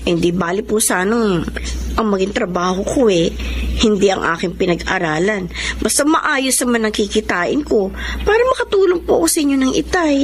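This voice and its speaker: An actress playing an earnest, respectful young provincial daughter with a pleading manner in a radio drama. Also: female voice, medium pitch, animated, normal speed, slight background noise, lightly acted. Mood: dejected, earnest.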